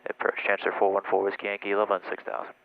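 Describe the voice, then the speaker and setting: A man's voice: on the low side, very fast, creaky, dry recording. A man working as a pilot, talking through checklists and radio calls in clipped phrases over an aviation headset. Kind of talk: flight vlog cockpit talk and radio calls. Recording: muffled aviation headset, steady cabin noise.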